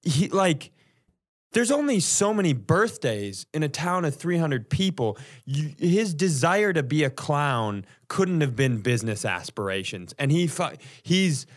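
A man's voice: a little high, big pitch swings, unhurried. A man co-hosting a comedy podcast, telling casual funny stories and laughing often as he goes. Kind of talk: casual comedic chat, storytelling.

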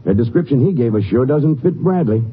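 An actor playing a plain-spoken, folksy and skeptical western sheriff in a 1950s radio drama. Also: male voice, medium pitch, animated, brisk, natural voice. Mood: plain, curious. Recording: tinny, noisy old radio recording.

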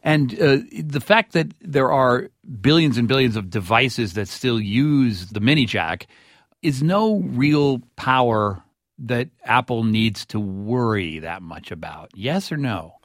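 A man presenting and interviewing as a radio host, with measured, wry and formal phrasing. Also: medium pitch, expressive, dry recording, studio quality.

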